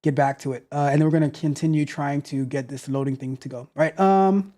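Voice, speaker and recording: A man in his thirties hosting a casual livestream from a home studio on a close boom mic, rambling, repeating himself and joking. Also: steady tone, fairly quick, mellow. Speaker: host, tech coach. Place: home studio, seated in gaming chair. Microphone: boom mic close to mouth.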